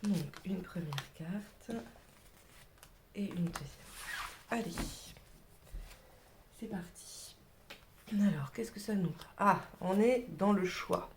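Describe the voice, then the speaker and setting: A woman's voice: medium pitch, takes it slowly, pauses often, noisy background. A woman with a cold giving a casual tarot reading, chatty and hesitant, sometimes dropping to a whisper. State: has a cold. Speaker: tarot reader.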